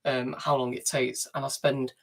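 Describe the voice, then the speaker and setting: A man's voice: medium pitch, little pitch movement, moderate pace, creaky, fairly bright tone. A man with a Mancunian accent chatting easygoingly on a livestream from a narrowboat. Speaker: vlogger. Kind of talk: casual livestream chat. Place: inside a narrowboat.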